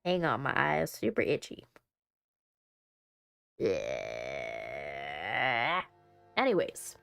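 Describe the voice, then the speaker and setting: A woman's voice: rumbling low, huge pitch swings, drawn-out words, vocal fry, fairly bright tone, smooth, dry recording, faint music behind. A woman streamer casually playing through a visual novel and talking as she goes. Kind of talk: casual playthrough, reading game text aloud.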